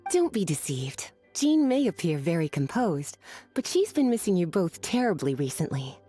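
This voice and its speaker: Mellow, teasing sultry woman. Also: on the low side, very animated, moderate pace, soft background music. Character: teasing, languid, flirtatious grown woman, big-sisterly mage. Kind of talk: video game character acting.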